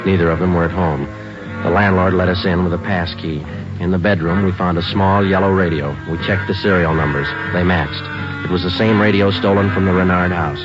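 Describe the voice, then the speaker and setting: A man's voice: low voice, steady tone, fairly quick, lightly acted. An actor playing a terse, matter-of-fact police detective sergeant narrating his case in 1940s radio style. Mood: businesslike, deadpan. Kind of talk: 1940s radio acting, clipped scripted narration. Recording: dull old radio recording, loud music.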